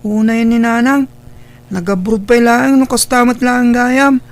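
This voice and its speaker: Woman playing a sulky, high-pitched Filipino schoolboy. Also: steady tone, measured pace. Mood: sulky, demanding. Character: sulky, demanding schoolboy son. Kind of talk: Ilocano radio drama acting.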